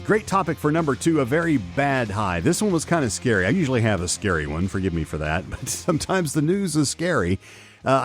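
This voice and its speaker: A middle-aged man hosting a solo podcast, slightly hoarse, chatting conversationally with many ums and restarts as he reads news aloud. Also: medium pitch. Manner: conversational, repeats words and restarts, frequent ums. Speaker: podcast host.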